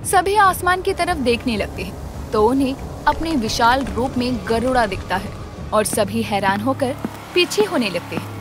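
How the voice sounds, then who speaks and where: A woman giving dramatic, scripted narration for a children's animated tale. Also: a little high, moderate pace, music in the background.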